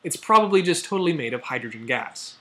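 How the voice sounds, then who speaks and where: A young man with an American accent and a somewhat high-pitched voice hosts a science explainer. His delivery is semi-scripted but conversational. He often breaks off into playful asides and mock exclamations before returning to the explanation. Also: lively intonation, fast, fairly bright tone. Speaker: host of a science news video show.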